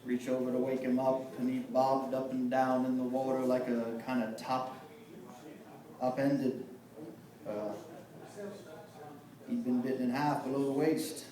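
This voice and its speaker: A man performing a dramatic monologue as a grim, haunted sailor who survived a wartime shipwreck, telling it in measured, folksy, clipped phrases. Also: medium pitch, little pitch movement, a crowd in the background, natural voice. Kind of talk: dramatic film monologue performed live from memory. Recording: echoey room, picked up from far off.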